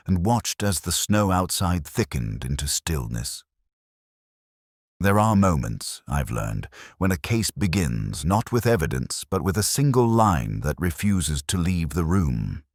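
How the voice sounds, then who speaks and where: A man narrating a scripted first-person mystery in a measured, literary, melancholic manner. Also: extremely low pitch, no echo, clean studio sound.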